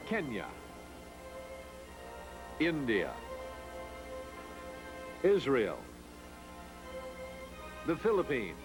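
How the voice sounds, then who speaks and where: A man reading scripted documentary narration in a measured, formal announcer manner. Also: a little high. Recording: narration over clear background music.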